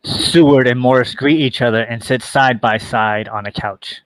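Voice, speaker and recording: A man's voice: medium pitch, steady tone, moderate pace, no room sound, poor audio. A man reading scripted stage directions as the narrator of a play.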